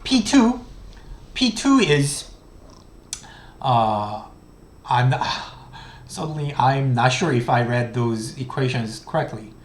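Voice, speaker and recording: A man in his thirties, a native Korean speaker with a Korean accent, lecturing on economics in a halting, step-by-step way with many restarts. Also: mid-range voice, expressive, echoey room. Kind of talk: recorded online lecture. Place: small room indoors.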